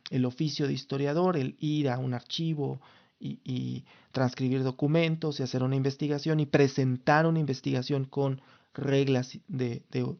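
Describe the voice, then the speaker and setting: A man's voice: mid-range voice, gravelly, lo-fi sound. A Mexican man in his thirties from Mexico City, hosting his own podcast in a formal, lawyerly way with frequent restarts. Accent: Mexican Spanish. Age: 30s. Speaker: podcast host. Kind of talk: casual solo podcast talk.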